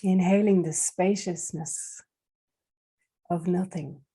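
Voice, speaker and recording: A woman leading a guided meditation in a soft, breathy, soothing voice, leaving long unhurried pauses. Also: lowish voice, steady tone.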